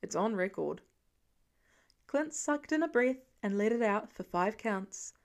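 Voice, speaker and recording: High-voiced woman narrating a story. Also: animated, measured pace. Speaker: fan fiction narrator. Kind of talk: expressive scripted audiobook narration.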